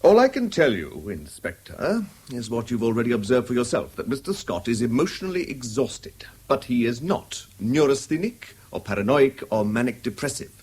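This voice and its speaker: An actor playing a guarded, easily indignant country doctor in a radio drama, crisp and formal, with an English accent. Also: male voice, mid-range voice, very animated, moderate pace, hardly any pauses, a little mellow. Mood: firm, measured. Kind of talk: 1970s British radio drama acting.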